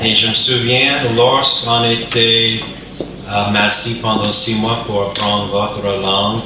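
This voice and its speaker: Hesitant pastor in an echoey church. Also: male voice, fairly low pitch, noisy background. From Canada, New Brunswick. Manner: hesitant, searching for words. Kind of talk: church service introduction. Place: church sanctuary. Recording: echoey church hall from far off.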